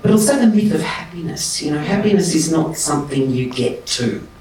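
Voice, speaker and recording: A man speaking as an interview guest, impassioned and emphatic, his pitch jumping up when he gets animated. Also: brisk. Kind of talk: conversational interview. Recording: roomy and distant with faint noise.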